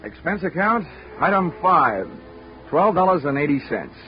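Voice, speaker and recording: An American actor playing a brisk, impatient, wisecracking insurance investigator in a 1940s radio drama, with a wry, clipped delivery. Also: male voice, on the high side, sing-song, over music. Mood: wry narrating. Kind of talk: 1940s radio acting, first-person detective narration. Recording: dull vintage 1940s radio broadcast.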